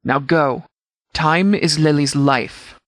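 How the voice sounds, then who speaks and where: An actor playing a commanding post-apocalyptic sheriff in a video game, speaking in a clipped, formal, authoritative way. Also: male voice, fairly high pitch, takes it slowly, no echo, studio quality. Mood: urgent, commanding.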